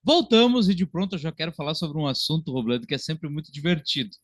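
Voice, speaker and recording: A man, co-hosting a casual film discussion in an animated, chatty way. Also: fairly high pitch, fast talker, soft background music. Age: early 30s. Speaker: podcast co-host and film critic.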